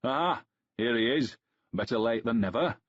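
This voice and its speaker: A man voicing a boisterous, hot-headed young Roman general with a Danubian accent, loud and hearty. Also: medium pitch, expressive, normal speed, breathy edge, lo-fi sound, voice pushed up. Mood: hearty welcome.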